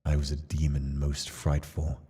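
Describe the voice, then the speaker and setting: A man reading aloud in the first person as a numb, brooding young nobleman exiled to a mansion, in a measured, subdued way. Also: a bass voice, monotone, mellow, slightly rough, in a large hall, exaggerated voice. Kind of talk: reading game text aloud.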